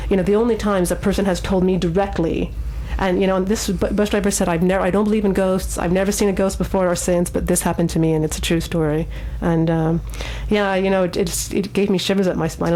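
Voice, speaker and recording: A woman hosting a radio show, telling stories casually in a halting way with repeated words. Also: on the low side, warm tone, poor audio.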